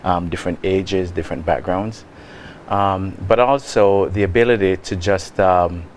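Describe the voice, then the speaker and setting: Deep-voiced Jamaican man, soft and measured. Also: steady tone, a little hiss or hum. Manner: soft-spoken, measured, with many ums. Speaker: guest, lodge member. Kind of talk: casual interview.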